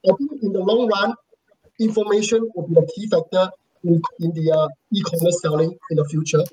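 A man speaking unscripted as an online panelist, explaining things in halting, hesitant phrases. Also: sits high, darkish tone. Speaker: panelist on e-commerce. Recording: clearly noisy signal with clicks.

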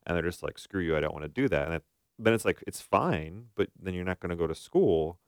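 A man's voice: low voice, animated, fast, clean tone, no echo, studio quality. An American man co-hosting a podcast as a therapist, explaining his views casually and acting out bits of imagined dialogue.

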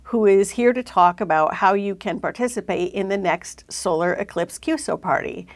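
A woman's voice: medium pitch, vocal fry. A woman hosting a podcast, introducing and interviewing a guest. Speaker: podcast host. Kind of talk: scripted introduction and interview questions.

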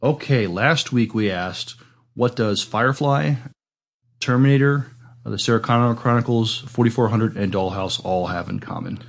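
Halting, low-voiced male podcast co-host. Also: vocal fry. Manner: halting, hesitant delivery with many filled pauses. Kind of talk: casual co-hosting chat.

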